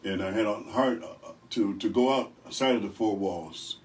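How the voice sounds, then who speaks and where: Deep-voiced older man, distant mic. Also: moderate pace, darkish tone. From Rochester, New York. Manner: good-humored, rambling storyteller. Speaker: evangelist guest. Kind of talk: radio interview. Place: radio studio.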